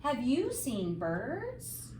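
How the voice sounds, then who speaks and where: A woman reading a picture book aloud to young children like a teacher, with expressive, sing-song, emphatic phrasing and simple explanatory asides. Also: high voice, extremely slow. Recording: roomy, distant mic, faint background noise.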